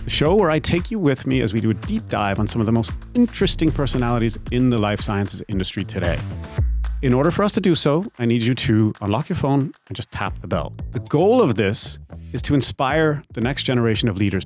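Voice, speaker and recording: A man hosting an interview podcast, asking casual, probing questions. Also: medium pitch. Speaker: podcast host.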